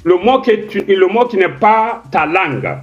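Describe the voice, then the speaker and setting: Insistent high-voiced man on a dull line. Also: expressive, measured pace, soft background music. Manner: insistent, repeats polite requests. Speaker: caller in a live debate. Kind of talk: casual debate questioning.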